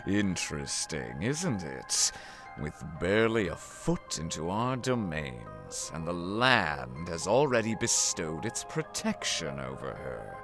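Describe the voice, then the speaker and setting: An actor playing a sly, theatrical wind spirit in a visual novel, with a teasing, languid, dramatic delivery. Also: male voice, low voice, huge pitch swings, slow, heavily acted. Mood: smug, intrigued. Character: sly, theatrical male wind spirit, amused elder brother. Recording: music behind the voice. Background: game music.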